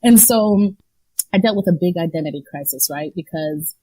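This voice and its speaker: A woman's voice: lowish voice, moderate pace, warm tone, a little hiss or hum, low-quality recording. A young adult woman from Rwanda, talking as an interview guest about her nonprofit in a candid, chatty way with fillers and restarts. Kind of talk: casual conversational interview.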